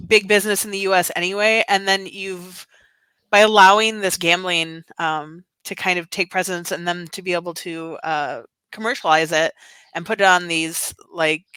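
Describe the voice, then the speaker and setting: A woman's voice: fairly low pitch, bright, forceful tone, clear, resonant tone, no echo, low-quality recording. A woman hosting a podcast in casual chat, chatty, with lots of fillers and hedges. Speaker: podcast host.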